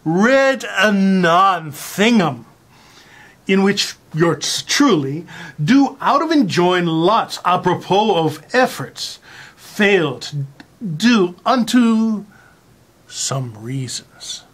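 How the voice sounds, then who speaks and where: A presenter with a halting, hesitant, deadpan delivery, speaking in a mock-serious way while sharing a piece of writing aloud. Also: mid-range voice. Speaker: presenter reading a found article aloud. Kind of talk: rambling deadpan introduction and mock-serious dramatic reading.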